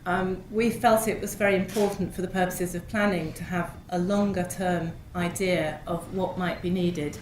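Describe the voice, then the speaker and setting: Woman panelist, hoarse from a cold, low-ish voice, measured delivery; echoey hall, audible noise. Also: steady tone, a little mellow. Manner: measured, informative, frequent ums. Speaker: panel speaker. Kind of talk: conference presentation.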